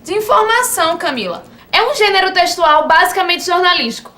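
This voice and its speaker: Eager know-it-all tech girl; very high, bright, echoey room. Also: expressive, a little fast, full character voice. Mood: excited. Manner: eager, explains things to friends. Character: chatty tech-loving girl, cheerful and knowing.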